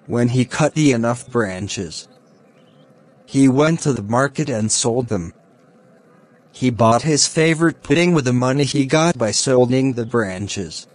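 A man playing a kind, helpful young man in a children's cartoon, speaking in simple, measured scripted lines. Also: medium pitch, lightly acted.

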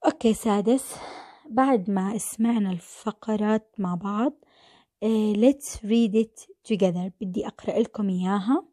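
An English teacher whose first language is Arabic, giving an online class over slides and switching between English and Arabic, speaking slowly and deliberately in English and repeating herself. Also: medium pitch, warm tone, no room sound, clean studio sound.

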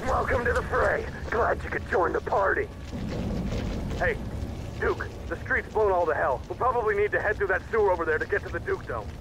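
Rowdy game infantryman: high, animated voice, clear battle noise behind. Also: a little fast, pauses often. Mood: brisk. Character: eager, rowdy male frontline soldier in combat. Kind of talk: video game voice acting.

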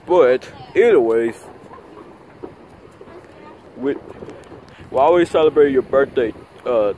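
A man improvising a plush toy skit outdoors, talking in a rambling, repetitive, halting way into a handheld phone. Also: medium pitch, phone-quality sound. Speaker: puppeteer of plush toys. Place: outdoors on grass at night. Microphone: handheld phone camera close by. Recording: noisy outdoor phone video recording.

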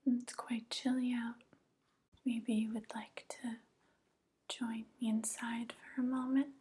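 A young woman playing a softly coaxing evil witch in an ASMR roleplay, whispering slowly and gently, close to the microphone. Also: a little high, heavily acted. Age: young adult. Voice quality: breathy whisper. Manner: slow, gentle, flattering, falsely kind. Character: soft, coaxing evil witch luring a guest.

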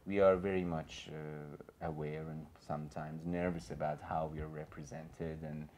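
A man's voice: rumbling low, flat delivery, moderate pace, a little mellow. Man, Persian accent, earnest rambling panelist, 30s, far room mic. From Iran. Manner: earnest, rambling with many fillers and restarts. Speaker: panelist and filmmaker. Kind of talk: panel discussion. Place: small gallery room with an audience.

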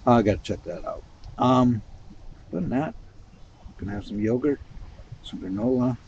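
An older man casually narrating his camping trip, relaxed and rambling with many ums and uhs, recorded outdoors at a campsite. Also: medium pitch, moderate pace, highs cut off, slight background noise. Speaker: camping vlogger.

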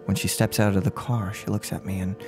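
A man in his twenties playing a soft-spoken, unhinged serial killer in a menacing, mock-polite ASMR role play, close to the microphone. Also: lowish voice, little pitch movement, brisk, over music, close to an everyday voice. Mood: brooding, measured. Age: 20s. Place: room at home. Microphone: close desk microphone on boom arm.

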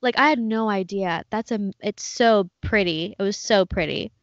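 A woman's voice: mid-range voice, moderate pace, no room sound, highs cut off. Bubbly, enthusiastic young woman. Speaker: podcast co-host. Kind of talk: casual chat.

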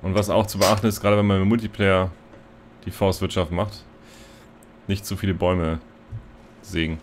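A man's voice: low-pitched, moderate pace, slight background noise. A man hosting a let's play, chatting casually while he plays and often dropping a filler "so". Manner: relaxed, chatty, lots of "so" fillers. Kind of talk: casual gameplay commentary.

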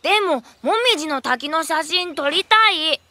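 A woman's voice: sits high, normal speed, bright, forceful tone, no echo. A woman voicing an eager, earnest little boy in a children's cartoon, with a childlike way of talking. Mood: earnest, pleading.

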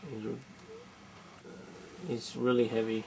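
Male toy reviewer, slow and gravelly, muttering offhand asides into a camera mic. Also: fairly low pitch, monotone, a little mellow, a little hiss or hum, rough recording. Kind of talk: casual hands-on review. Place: quiet room at home at night.

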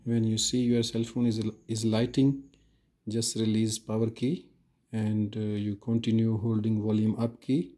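A man talking through a phone reset step by step in a slow, plain, instructive voice-over with frequent pauses. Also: lowish voice, level tone, warm tone. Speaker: phone repair tutorial host.

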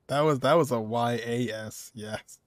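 A man's voice: mid-range voice, takes it slowly, dry recording, clean studio sound. A man, giving casual reaction commentary as he streams a video game. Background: game music.

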